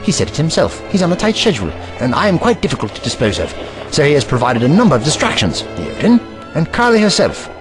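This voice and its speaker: A man's voice: a little high, huge pitch swings, fast talker, music in the background. A man voicing a haughty, eccentric time-traveling scientist in an audio drama, pompous and lecturing.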